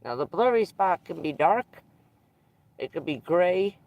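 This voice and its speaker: A livestreamer chatting casually with viewers, stuffed up and losing their voice, who keeps clearing their throat. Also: mid-range voice, animated, darkish tone. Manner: frequent throat clearing, unhurried, rambling. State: congested and losing voice. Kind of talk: casual live stream chat with viewers.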